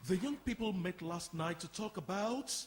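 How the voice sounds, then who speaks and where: A man's voice: sits high, normal speed, a little hiss or hum, natural voice. An actor playing an unbothered, matter-of-fact committee man in a stage play. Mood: explanatory. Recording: reverberant live hall performance.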